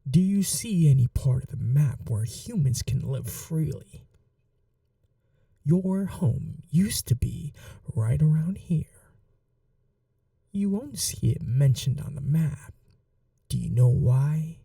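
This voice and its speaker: A woman playing a cruel, possessive vampire mistress in an ASMR roleplay, speaking slowly in breathy half-whispers with menacing tenderness. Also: sits low, expressive, dark tone, no echo, clean studio sound, distant mic, heavily acted. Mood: coldly tender. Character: cruel, possessive vampire mistress, silkily taunting her betrothed captive. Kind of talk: ASMR audio roleplay, dramatic acting.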